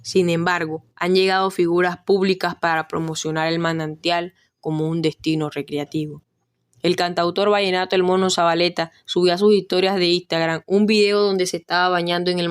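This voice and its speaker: A woman narrates a documentary podcast as its reporter. Her voice sits fairly low and stays very even, following a written script. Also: moderate pace, clean tone.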